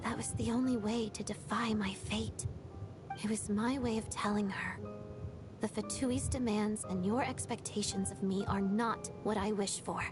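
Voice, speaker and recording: A woman playing a melancholy young ballet dancer in a video game, speaking softly and breathily in a restrained, reflective, measured way. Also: medium pitch, fairly even intonation, music in the background, close to an everyday voice. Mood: wistful, resigned. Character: melancholy young female ballet dancer and former Fatui trainee. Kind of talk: video game story dialogue.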